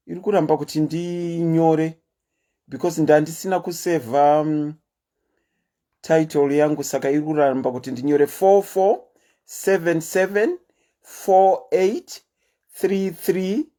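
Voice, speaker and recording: A woman with a Zimbabwean accent and a deep voice, hosting a casual livestream chat and telling stories in a chatty, animated way. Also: slow pace. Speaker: host.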